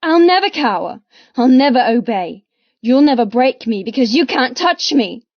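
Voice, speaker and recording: A woman's voice: high-pitched, sing-song, moderate pace, no echo, dull audio, pitched above the performer's own voice. Woman voicing a fierce, defiant young heroine. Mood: furious defiance. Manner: emphatic, impassioned, spitting out short phrases. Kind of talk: dramatic audiobook character dialogue.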